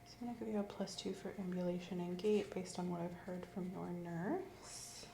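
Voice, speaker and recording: A woman doing an ASMR medical roleplay as an examining clinician, giving calm, gently coaxing clinical instructions. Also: lowish voice, a little fast, gravelly. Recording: roomy echo, faint background hiss.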